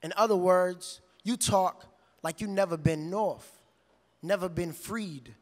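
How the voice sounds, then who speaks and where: Slow, high-voiced man declaiming poetry, cavernous hall. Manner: declamatory, emphatic, rhythmic delivery. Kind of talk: spoken word poetry performance. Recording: long reverberant hall.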